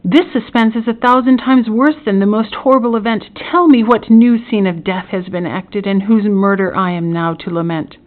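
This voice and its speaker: A woman's voice: mid-range voice, normal speed, phone-quality sound, lightly acted. A woman voicing a tormented, melodramatic young man in an impassioned, formal storybook reading. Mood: agonized dread. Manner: impassioned, exclamatory, formal old-fashioned phrasing.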